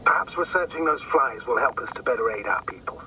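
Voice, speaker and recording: An actor playing an analytical male mission commander in a space game, speaking formally and deliberately over a radio. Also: medium pitch, lively intonation, sharp tone. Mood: grave. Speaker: astrogator, superior officer. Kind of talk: dramatic game voice acting. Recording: slightly noisy, distant radio link.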